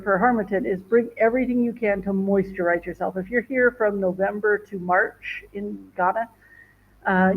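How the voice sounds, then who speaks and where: A woman's voice: mid-range voice, phone-quality sound. A woman vlogger chatting casually to viewers from her home, rambling with many fillers and restarts. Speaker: travel vlogger. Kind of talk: casual livestream chat. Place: home in northern Ghana.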